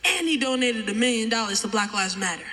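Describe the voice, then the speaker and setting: A twelve-year-old American boy performing spoken-word poetry with emphatic, deliberate oratory. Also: sits high, lively intonation, bright, forceful tone, soft background music. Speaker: young poet reciting. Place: large chapel, live audience event. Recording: echoey large chapel, long reverb.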